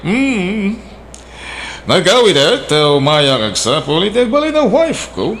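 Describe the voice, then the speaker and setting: A man's voice: sits high, big pitch swings, measured pace, fairly bright tone, exaggerated voice. A man plays a blunt suitor just back from abroad, dropping English into his talk. The voice sits raised and bouncy. A faint hiss runs underneath the radio drama. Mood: brash. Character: returning male emigrant suitor, blunt and forward.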